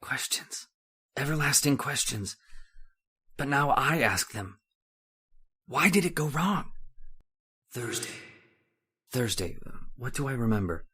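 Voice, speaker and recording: A man's voice: mid-range voice, lively intonation, slow, pauses often, sharp tone, slightly rough, full character voice. A man playing an irritable, scornful older husband haunted by memory, impatient and muttering, in old-time radio horror style. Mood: brooding, haunted. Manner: impatient, snapping, muttering broodingly.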